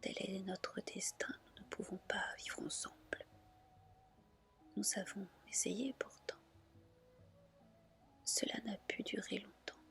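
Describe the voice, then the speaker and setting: A woman's voice: mid-range voice, little pitch movement, takes it slowly, gravelly, pauses often, fairly bright tone. A woman voicing a noble male dragon lord of the sea in a whispered storybook reading, formal and tender. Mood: solemn, resigned. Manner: formal, solemn, tender address. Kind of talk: whispered ASMR storybook dialogue.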